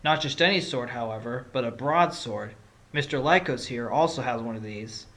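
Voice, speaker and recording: A man's voice: mid-range voice, moderate pace, pushed and bright, close to an everyday voice. A man playing a scrappy, determined fox defense attorney in a courtroom audio drama, making his points in a pointed, argumentative way. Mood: confident, pressing. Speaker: voice actor in fan audio drama. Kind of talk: courtroom drama acting with inner monologue. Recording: music clearly under the voice.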